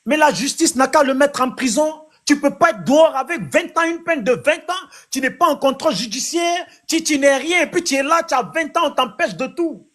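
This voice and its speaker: A man with a high voice talks in a heated online debate. He rambles and repeats himself, sounding flustered as he loses his thread. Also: fairly quick, few pauses, fairly bright tone. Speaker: debate participant.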